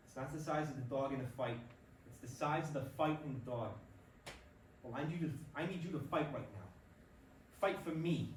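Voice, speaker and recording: A man's voice: mid-range voice, darkish tone, close to an everyday voice. A man in a staged play reading playing an earnest, pleading ballplayer and expectant father grieving his dad. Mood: tearful pleading. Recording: roomy stage recording, distant mic, faint noise.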